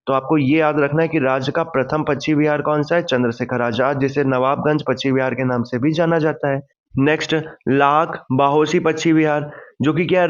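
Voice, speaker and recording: Male teacher, fairly high pitch, didactic question-and-answer revision delivery, dull low-fidelity sound. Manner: didactic, poses questions then answers them, repeats key points. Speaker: exam-prep teacher.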